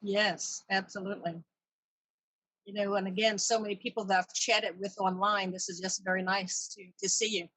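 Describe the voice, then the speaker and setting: A woman's voice: medium pitch, flat delivery, moderate pace, a bit bright, no room sound, poor audio. A woman hosting an online meeting in a casual, chatty way with self-deprecating humor, speaking over a video call. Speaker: exhibition chair hosting a virtual meeting. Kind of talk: casual welcome and chat. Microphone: tablet on a video call.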